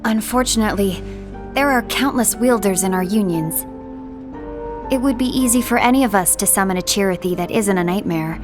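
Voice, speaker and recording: An actress playing a calm, composed young female apprentice in a fantasy video game cutscene, speaking in a questioning, reasoned way. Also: mid-range voice, a little fast, over music, close to an everyday voice.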